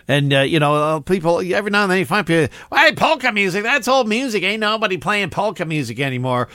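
A man's voice: a little high, fairly bright tone, no echo, studio quality. Chatty man hosting an oldies radio show. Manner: chatty, rambling, upbeat, with many fillers. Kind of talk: casual radio DJ chat.

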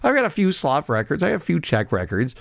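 Male radio host, slightly high pitch, dry studio sound, rambling chatty delivery. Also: huge pitch swings, fast talker, gravelly, no room sound. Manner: rambling, chatty, many fillers. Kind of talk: casual radio chat between records.

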